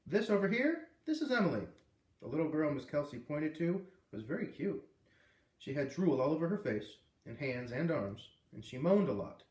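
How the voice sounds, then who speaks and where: A man narrating a children's novel aloud in an expressive storybook style, as a read-aloud reader. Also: fairly high pitch, normal speed. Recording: echoey room, distant microphone.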